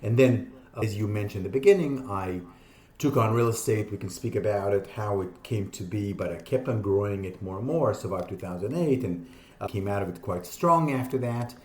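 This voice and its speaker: A man in his fifties from Israel, a podcast guest explaining his ideas in an animated, conversational way. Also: lowish voice. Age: 50s. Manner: animated, explaining with quick asides and laughs. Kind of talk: casual interview talk. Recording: echoey room.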